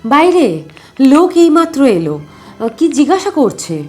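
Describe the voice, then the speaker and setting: A woman voicing an eager, excitable young boy in an audio adventure story, calling out urgently and earnestly. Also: sits high, unhurried, soft background music, voice pushed up. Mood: anxious.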